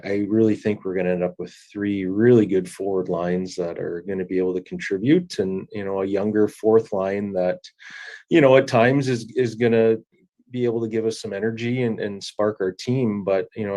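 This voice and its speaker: A man answering interview questions as a hockey coach, halting and repeating words as he thinks. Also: on the low side, warm tone.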